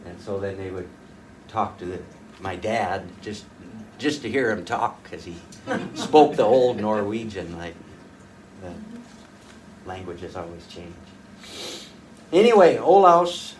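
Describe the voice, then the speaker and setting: A man's voice: fairly low pitch, expressive, slow pace, pauses often. Older male folk fiddler, rambling folksy storyteller, recorded from across the room with faint room hum. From rural northwest North Dakota. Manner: rambling, folksy, with frequent uh's and restarts. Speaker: folk fiddler giving a talk. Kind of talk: casual storytelling to a small audience. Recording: distant mic, faint room noise.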